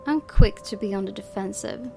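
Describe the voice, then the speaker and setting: A woman hosts a gaming video, reading visual novel narration aloud. Her voice has an average pitch, and she slips into casual asides. Game music is clearly audible behind her. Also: moderate pace, dark tone.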